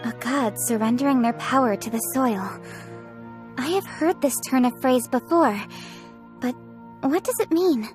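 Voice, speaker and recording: A woman's voice: sits high, a little slow, long pauses, music in the background. An actress playing an earnest, thoughtful young woman in a video game, speaking respectfully and reflectively. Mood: puzzled, thoughtful. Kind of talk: video game character acting.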